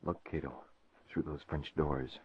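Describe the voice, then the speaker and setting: An actor playing a determined vigilante hero in an old radio drama, brisk, self-assured and commanding. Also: male voice, very deep voice, steady tone, darkish tone, slight background noise. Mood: confident, alert. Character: confident, determined masked-vigilante hero and man about town. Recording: dull lo-fi vintage radio recording.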